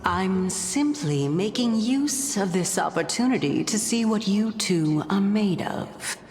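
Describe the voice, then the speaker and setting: An actress playing a composed, calculating woman in a video game, speaking in a measured, formal, coolly condescending way. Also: mid-range voice, expressive, darkish tone, long reverb tail, soft background music. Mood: cool, teasing. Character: composed, calculating, teasing woman with hidden motives.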